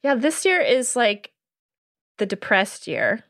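A woman's voice: a little high, huge pitch swings, takes it slowly, fairly bright tone, dry recording, clean studio sound. A woman chatting casually as a podcast guest, candid and self-deprecating. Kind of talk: casual chat.

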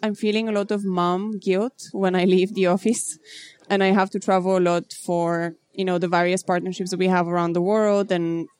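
Composed low-voiced woman founder, dry sound. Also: flat delivery, darkish tone, clear, resonant tone, dry recording. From Bulgaria. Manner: measured, composed, businesslike. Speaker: startup founder. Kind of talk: interview answers.

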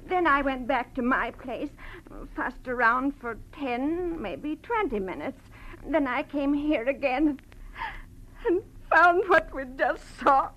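High-voiced, anxious genteel woman. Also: animated, takes it slowly, dull audio. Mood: tearful, shaken. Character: distraught, genteel, anxious woman friend of victim. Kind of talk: 1940s radio drama acting. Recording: faintly noisy old radio recording.

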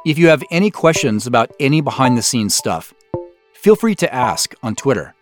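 A man giving scripted behind-the-scenes commentary as a show's creator, explaining in a measured way. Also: mid-range voice, sing-song, over music.

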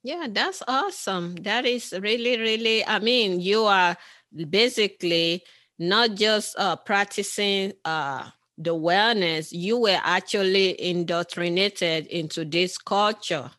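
An interviewer from Nigeria, asking slow, carefully repeated questions on a remote call. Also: male voice, high-pitched, sharp tone, no room sound.

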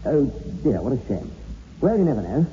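A man's voice: medium pitch, very animated, brisk, mellow, close to an everyday voice. A man playing an affable, odd young ghost investigator in a 1960s radio horror drama, chatty and breezy with small hesitations. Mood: breezy, knowing. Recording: muffled old radio recording, faint hiss.

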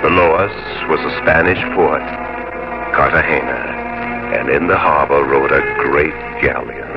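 A low-pitched gallant Elizabethan sea captain spins his tale with gruff, earnest declamation. The old radio drama sound is muffled, with prominent music behind him. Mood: solemn narrating. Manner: declamatory, measured storytelling. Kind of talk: 1950s radio drama acting, first-person narration.

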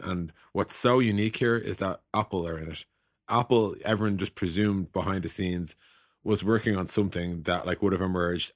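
A man's voice: deep voice, no echo, clean studio sound. A man in a casual tech discussion, hosting and telling points through imagined quotes. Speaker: podcast host and tech executive.

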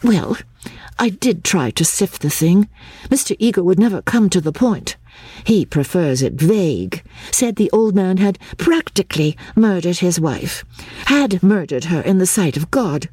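Sweet earnest young english lady in an audiobook woman narrator doing her, eager to please, higher voice, clean dry sound. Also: expressive, unhurried, dark tone, husky, dry recording. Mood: indignant. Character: earnest, gentle young Englishwoman of polite society.

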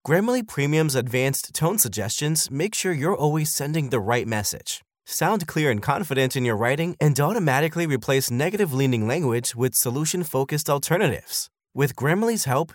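A man's voice: mid-range voice, no echo, studio quality. A man reading a scripted advertisement as an announcer. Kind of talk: scripted ad narration.

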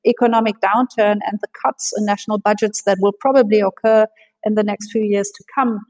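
Woman expert talking policy, measured and analytical, lots of ums and restarts. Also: mid-range voice, fairly even intonation, darkish tone, rough recording. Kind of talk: interview answers.

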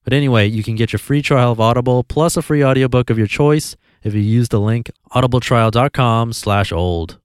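A man hosting a podcast, calmly reading a blog post aloud in an even, easygoing way. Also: medium pitch, fairly quick, hardly any pauses, smooth, dry recording, studio quality. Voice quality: calm, even voice.

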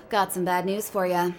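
A woman's voice: lowish voice, heavy background noise. A woman playing a cool, confident field agent in a scripted audio drama. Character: cool, self-assured female field agent.

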